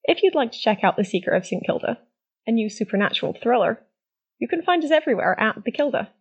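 A woman reading a friendly scripted podcast promo in a husky, breathy voice on a slightly dull recording. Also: on the high side, lively intonation, quick pace. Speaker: podcast maker giving a promo.

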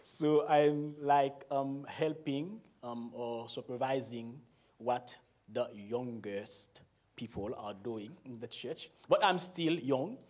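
A man in his forties with a Haitian accent, a native Creole speaker, giving a slow, laughing talk to a church as a guest pastor. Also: mid-range voice. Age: 40s. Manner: slow, warm and laughing, with frequent pauses.

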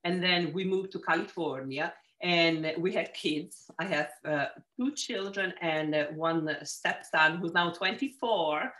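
A woman's voice: fairly low pitch, measured pace, few pauses, low-quality recording. A woman in her forties from Sicily with an Italian accent, talking through her career as an interview guest with frequent restarts. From Sicily, Italy. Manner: warm storytelling with frequent restarts. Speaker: real estate agent, guest. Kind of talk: casual interview chat.

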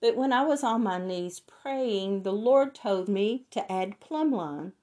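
A woman with a hoarse, raspy voice from laryngitis, teaching a Bible lesson and reading scripture in a deliberate way. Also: medium pitch, expressive, dark tone.

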